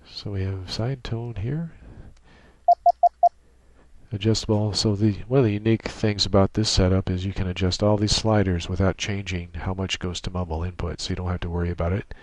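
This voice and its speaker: A man giving an unscripted software tutorial in a methodical, technical way with halting phrasing, close on a USB microphone. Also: deep voice, steady tone, no echo. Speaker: tutorial presenter.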